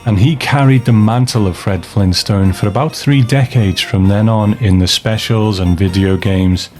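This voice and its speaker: A man with a lowish voice hosts a scripted commentary on classic television. He explains things in a measured way, with soft music behind him. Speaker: podcast host.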